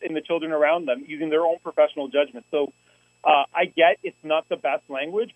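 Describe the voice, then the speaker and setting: A man's voice: a little high, no echo, narrow, tinny audio. A man speaking earnestly at a public school board meeting, often restarting his phrases. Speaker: union representative presenting to a school board.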